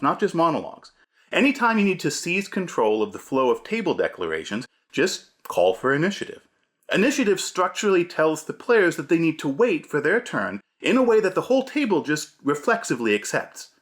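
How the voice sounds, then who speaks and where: A man presents a scripted video essay on running tabletop games. His pitch is very lively and moves widely for emphasis. The delivery is punchy and a little theatrical, like a game master instructing other game masters. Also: fairly quick. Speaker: host, game master giving advice.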